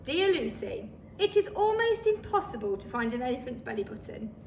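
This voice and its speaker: A woman voicing a kindly male zookeeper's letter in a storybook, measured and reassuring. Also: high-pitched, sing-song, creaky, full character voice. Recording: roomy, distant microphone, faint noise.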